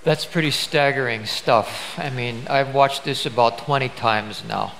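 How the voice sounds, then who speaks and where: A man's voice: medium pitch, unhurried, vocal fry. Older man, pastor, emphatic conversational preaching, church stage, faint hiss. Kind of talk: sermon interview on stage.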